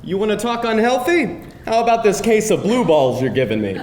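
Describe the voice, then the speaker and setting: Guy playing cocky pushy boyfriend, highish voice, really animated, echoey live room with faint rumble. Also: fairly quick. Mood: frustrated, wheedling. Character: pushy, glib boyfriend around thirty, impatient and playful. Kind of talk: live screenplay table read. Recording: roomy live hall, faint rumbling noise.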